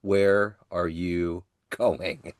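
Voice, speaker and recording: A man's voice: low-pitched, steady tone, very slow, dry recording, studio quality. A man hosting an interview podcast, asking questions over a call and reacting with enthusiastic affirmations. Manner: effusive, frequent yeah affirmations. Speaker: podcast host. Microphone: video call.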